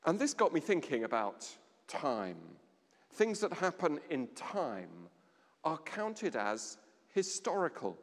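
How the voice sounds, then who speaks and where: A middle-aged man giving a sermon at a lectern in a large stone church, measured, with dramatic pauses and a voice that drops low. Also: big pitch swings. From England. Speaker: vicar. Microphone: standing at a lectern, far camera. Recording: long church reverb.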